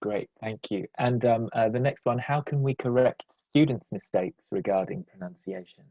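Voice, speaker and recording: A woman's voice: extremely low pitch, normal speed, dark tone, no echo. A woman moderating a webinar, reading out questions from the audience. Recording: dull lo-fi video call.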